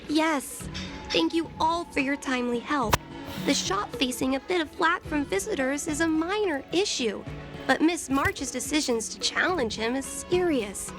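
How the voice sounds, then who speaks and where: Casting: young shopkeeper woman, anime game, high voice, apologetic, very polite. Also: a little slow, over music. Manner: courteous, deferential.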